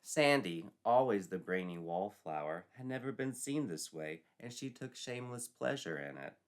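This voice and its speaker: A man's voice: on the low side, animated, normal speed. A man narrating a scripted comic tale in a theatrical, arch, mock-dramatic manner. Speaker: storyteller, narrator.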